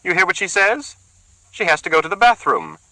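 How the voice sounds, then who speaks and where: A man voicing a loud, sarcastic woman who needles everyone with sour jabs. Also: huge pitch swings, brisk, dry recording, heavily acted, rough recording. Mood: sneering. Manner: shrill, jabbing, sour sarcasm.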